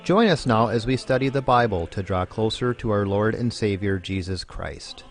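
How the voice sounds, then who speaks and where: A man giving a measured, scripted program introduction as announcer. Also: on the low side. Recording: music bed under voice.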